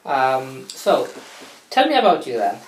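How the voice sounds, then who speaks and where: A man's voice: medium pitch, huge pitch swings, measured pace, slight background noise. A man interviews a child as an educator, speaking with phrasing and encouraging him often with "great" and "brilliant". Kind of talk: interview questions. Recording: roomy, from a distance.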